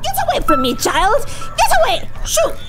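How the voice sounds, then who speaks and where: An actress playing an older, fanatical neighbor woman who shouts warnings that something is evil and cursed. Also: wildly swooping pitch, moderate pace, heavily acted. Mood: frantic. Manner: shrill preaching warnings. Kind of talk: audio drama acting. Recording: loud music behind.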